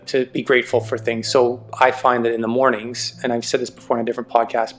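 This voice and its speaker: A man hosting a podcast interview, enthusiastic and encouraging, often answering with quick affirmations. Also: medium pitch. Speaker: podcast host. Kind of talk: conversational interview.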